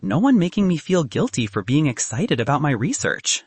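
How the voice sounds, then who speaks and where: A man's voice: a little high, very animated, normal speed, husky, dry recording, heavily acted. A man voicing a candid, wry young woman physicist. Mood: wry, upbeat. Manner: dry, frank, advice-giving.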